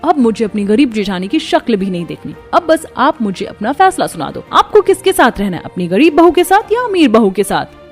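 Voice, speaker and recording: A woman's voice: on the high side, very animated, brisk, faint music behind. Woman doing a haughty rich young wife. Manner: sharp, haughty, raised voice.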